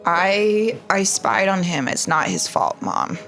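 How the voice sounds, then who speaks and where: A woman's voice: fairly low pitch, sing-song, takes it slowly, music in the background. A woman playing a tabletop game, who speaks eagerly in character as she describes her moves. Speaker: player.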